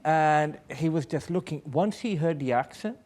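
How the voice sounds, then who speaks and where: A man speaks at a public panel in a relaxed, mellow tone that sits a little higher than most male voices. He comes across as an earnest aid worker, explaining through personal stories and repeating phrases for emphasis. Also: moderate pace. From born in Pakistan, American.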